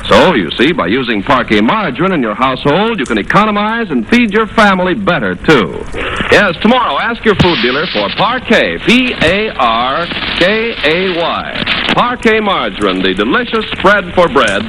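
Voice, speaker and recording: A man's voice: a little high, sing-song, normal speed. An American man working as a radio announcer, reading scripted copy in 1940s advertising style. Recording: noisy old radio broadcast recording.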